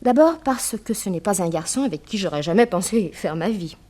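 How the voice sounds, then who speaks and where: An actress as a young woman of good family in a 1960s French radio serial. She is anxious but determined, with a medium-pitched voice and earnest, articulate, emphatic delivery. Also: animated, brisk, dark tone, close to an everyday voice. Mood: indignant. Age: young adult. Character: anxious, determined young daughter of a rich businessman.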